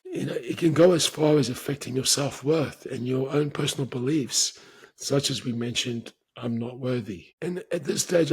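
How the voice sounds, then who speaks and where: A man, talking alone on his podcast and explaining things in a measured way, often restarting his words. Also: medium pitch, slightly rough. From grew up speaking Portuguese. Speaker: podcast host. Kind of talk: solo explanatory talk.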